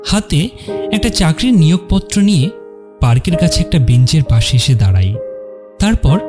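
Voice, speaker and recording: A man narrating a scripted dramatic story in measured, separate phrases. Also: medium pitch. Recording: music under the narration.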